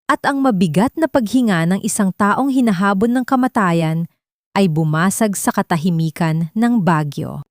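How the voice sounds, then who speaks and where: A woman's voice: medium pitch, darkish tone, no echo, clean studio sound. A woman narrating a dramatic audio love story as scripted storytelling.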